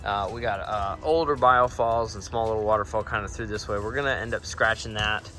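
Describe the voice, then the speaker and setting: A man's voice: on the low side, steady tone, fairly quick, bright, forceful tone, faint music behind. A man giving an upbeat, informal walkthrough as a builder hosting a project video outdoors. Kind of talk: casual on-site project walkthrough. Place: outdoors at a backyard pond construction site. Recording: noisy outdoor site, vehicle and ambient noise.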